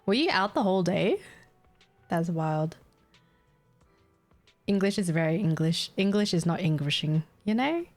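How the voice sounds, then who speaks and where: A woman's voice: lowish voice, lively intonation, a little slow, pauses often. Young aussie woman streaming games late at night, sleepy and scatterbrained, giggles a lot, reading chat, faint music behind. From Australia. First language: Vietnamese. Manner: tired, scatterbrained, giggly, reads chat aloud. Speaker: game streamer. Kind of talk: casual live-stream chat while gaming.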